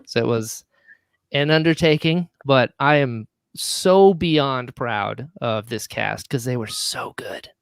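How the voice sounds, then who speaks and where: A man's voice: medium pitch, slow, vocal fry, smooth, no echo, clean studio sound. A man chatting casually as an interview guest, enthusiastic and talkative, often chuckling as he goes. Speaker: guest, audio drama writer and actor.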